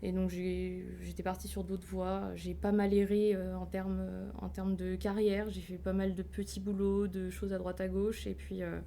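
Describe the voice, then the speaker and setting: A woman in her late twenties talks through her story on a live stream with a warm, dark tone. She is chatty and a little hesitant, and faint background noise sits under her voice. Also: medium pitch, little pitch movement, normal speed, creaky, few pauses. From France. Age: 20s. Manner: chatty, laughs often, hesitant fillers. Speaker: guest. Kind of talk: casual interview, telling her life story.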